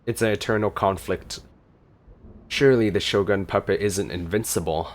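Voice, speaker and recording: A man's voice: fairly low pitch, moderate pace, a little hiss or hum. A man playing a video game and reacting to it in casual commentary, with game music behind him. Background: game music and battle sounds.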